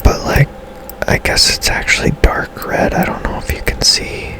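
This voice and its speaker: A man whispering casual, halting ASMR gameplay commentary in a breathy, unvoiced voice, like a channel host. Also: sharp tone.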